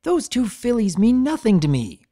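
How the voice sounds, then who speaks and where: A man's voice: very high-pitched, wildly swooping pitch, normal speed, warm tone, voice pushed up, heavily acted. Thunderlane, a pleading pony stallion, voiced by a man. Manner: pleading, stammering. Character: smooth-talking, lying pegasus stallion.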